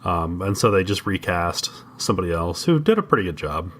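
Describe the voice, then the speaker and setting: Bright-voiced man with a low pitch. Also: big pitch swings, brisk. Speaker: podcast co-host. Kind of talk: casual comics discussion.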